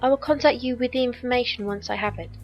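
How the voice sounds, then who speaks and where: An actress playing a loyal, composed squire in an audio drama, speaking formally and precisely. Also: female voice, a little high, fast talker, lo-fi sound, faint music behind, natural voice. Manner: formal, precise, stilted wording.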